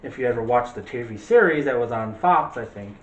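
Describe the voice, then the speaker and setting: A man's voice: medium pitch, animated, fairly quick, dull audio. A man hosting a movie discussion show in a casual, chatty and opinionated way. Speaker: host of a movie review show. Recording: echoey room.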